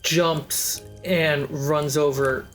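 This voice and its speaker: An easygoing game master narrates a tabletop game in a mid-pitched, relaxed voice. Scenes are described plainly, with pauses to prompt the players. Faint music sits behind.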